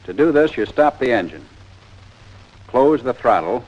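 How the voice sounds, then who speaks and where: A man's voice: medium pitch, very animated, unhurried, a little mellow. An American man narrating a 1940s instructional training film in a plain-spoken, methodical, step-by-step way. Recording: dull, lo-fi 1940s film soundtrack.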